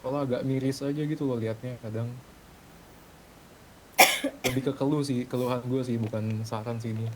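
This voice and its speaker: Man with an even, mellow voice. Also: mid-range voice, moderate pace, long pauses, a little hiss or hum, rough recording. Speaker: roleplay gamer in a group meeting. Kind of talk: casual in-game meeting talk.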